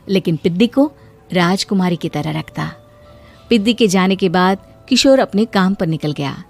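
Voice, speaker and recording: A woman's voice: mid-range voice, a little mellow. Woman narrating a story over music. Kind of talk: scripted cartoon story narration.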